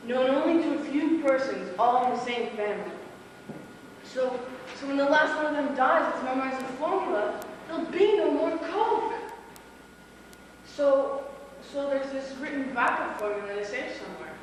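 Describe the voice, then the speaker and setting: Actress as high-voiced streetwise young woman, echoey stage. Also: lively intonation, normal speed, far from the mic. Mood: brash, musing. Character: brash young female small-time dope dealer and thief. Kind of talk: live stage play acting. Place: small theatre stage, recorded from a distance. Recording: echoey, noisy amateur theatre recording from afar.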